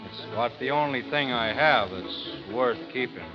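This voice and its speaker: An actor playing a weary, steely frontier gunfighter in old-time radio drama style, sometimes hesitant, sometimes terse. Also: male voice, mid-range voice, normal speed, lightly acted. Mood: firm, resigned. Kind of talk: 1940s radio acting. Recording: muffled old radio broadcast, faint music.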